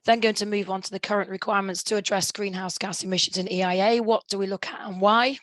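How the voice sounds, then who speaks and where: A woman in her fifties presenting a webinar lecture, croaky as she is losing her voice, speaking in a measured way with hesitations and throat clearing. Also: medium pitch, fairly even intonation, fairly bright tone, no room sound. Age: 50s.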